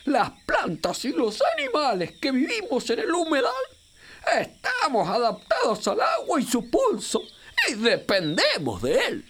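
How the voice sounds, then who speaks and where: Chubby talking capybara for kids radio play, argentine rioplatense accent, super swoopy high voice, theatrical and excitable. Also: female voice, unhurried, slight background noise, heavily acted. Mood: proud, explaining. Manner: theatrical, exclamatory, explains patiently. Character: chubby furry talking capybara, excitable, knowing river guide. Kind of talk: children's radio drama acting.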